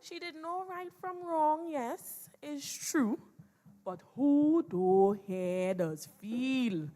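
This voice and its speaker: A Trinidadian-accented woman performing dramatised rhyming storytelling, theatrical and emphatic, swinging between soft and loud. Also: sits high.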